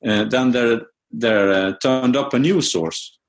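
A man's voice: mid-range voice, takes it slowly. A middle-aged man whose first language is Dutch, answering interview questions about his research with many fillers and restarts. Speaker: music researcher, interview guest.

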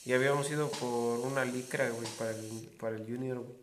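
A man's voice: mid-range voice, steady tone, fast. Young man, mexican accent, joking storyteller, echoey room, faint hiss. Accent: Mexican Spanish. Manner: joking, slangy, laughs often. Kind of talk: casual storytelling chat.

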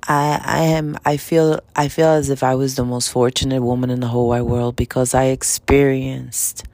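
A woman of fifty-eight speaks with a New York accent and plenty of gravelly fry in her voice. She gives informal, motivational talk straight to the listener. Her delivery is emphatic, with short phrases repeated like mantras. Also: very deep voice, darkish tone, no echo. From Dominican Republic, raised in Washington Heights, New York City. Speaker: spiritual self-help vlogger.

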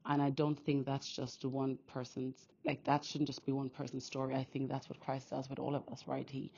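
A woman from Austria hosting a podcast, sharing her faith in an earnest, quick, casual monologue full of restarts. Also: extremely low pitch, completely flat delivery, vocal fry, mellow, a little hiss or hum. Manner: earnest, talks fast with many restarts. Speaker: podcast host. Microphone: phone. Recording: dull phone recording.

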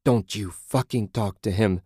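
A man voicing a furious, grieving woman in an audiobook, vengeful, forceful and profane as the character confronts someone. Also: on the low side, unhurried, slightly rough, no echo, clean studio sound. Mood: enraged, accusing.

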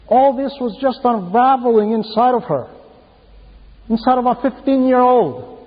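Male preacher, higher-pitched voice, unhurried emphatic sermon delivery, muffled sound with long reverb. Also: breathy edge. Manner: emphatic, deliberate, repeats key phrases for emphasis. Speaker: preacher reading a translated homily.

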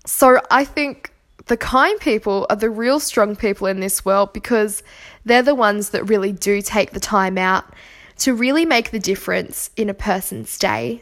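A woman hosting a solo podcast, talking earnestly and reflectively while nursing a cold and sore throat. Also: mid-range voice. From Australia. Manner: earnest, reflective, gently encouraging. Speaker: podcast host. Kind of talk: solo podcast monologue on kindness.

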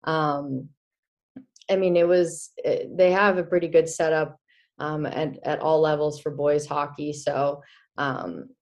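A young woman from the Czech Republic, a hockey player, chatting casually in an interview, full of fillers and quick laughs. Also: low voice, flat delivery, darkish tone.

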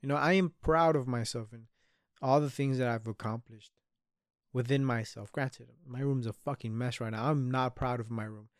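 A man in his early twenties talks through his thoughts on a solo podcast, candid and sweary, often trailing off and starting over. His voice is smooth and clear, recorded dry and close. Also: mid-range voice, normal speed, dry recording. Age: 20s. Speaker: podcast host. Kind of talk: solo reflective podcast monologue.

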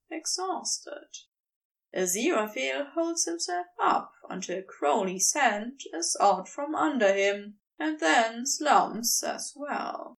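Woman narrator, unhurried, creaky edges, scripted story reading, slightly distant microphone. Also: sits high. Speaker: audiobook narrator.

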